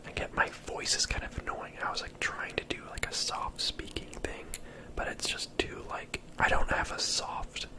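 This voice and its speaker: A man whispering softly in a casual, rambling, self-deprecating ASMR commentary on his own videos. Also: normal speed, rough recording. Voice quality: soft breathy whisper.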